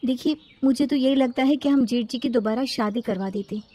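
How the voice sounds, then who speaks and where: A woman's voice: on the high side, moderate pace, mellow, breathy edge. A woman voicing a sympathetic, persistent young sister-in-law in a storybook tale, respectful and pleading. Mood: hopeful, reasoning.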